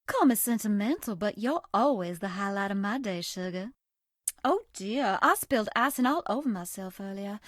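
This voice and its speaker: A sugary, high-voiced Southern belle. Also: female voice, no room sound, clean studio sound. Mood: tender, flirty. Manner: coy, sugary, folksy. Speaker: voice actress. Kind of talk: video game character voice lines.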